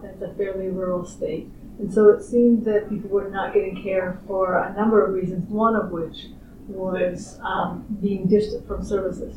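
A woman in her sixties, a researcher, answering interview questions in a measured, thoughtful way with frequent pauses. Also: medium pitch. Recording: roomy, distant, muffled recording with clear background noise.

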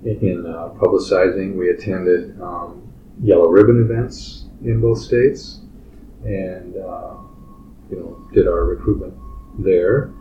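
A man in his sixties, a clinical social worker, answering interview questions in a steady, matter-of-fact way with many fillers. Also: low voice, slow. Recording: roomy, distant, muffled recording with faint background noise.

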